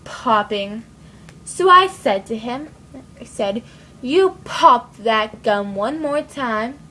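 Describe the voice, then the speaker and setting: A woman's voice: fairly high pitch, animated, slow pace, pushed and bright, slight background noise, full character voice. A woman playing an irritated wife who snapped at her husband's habit, telling it drily. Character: irritated woman who shot her gum-popping man.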